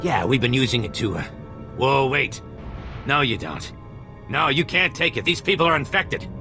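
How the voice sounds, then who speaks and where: An actor playing a cagey, sarcastic pirate doctor full of bluster in a video game, with music behind. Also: male voice, medium pitch, very animated, normal speed, sharp tone. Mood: defensive, sarcastic. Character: sardonic pirate doctor, cagey, bluffing, threatening lowlife.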